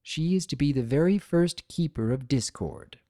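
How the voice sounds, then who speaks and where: A man voicing a regal female pony ruler in a story reading, composed, gentle but firm, with measured, formal speech. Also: mid-range voice, expressive, no room sound, clean studio sound, close to an everyday voice.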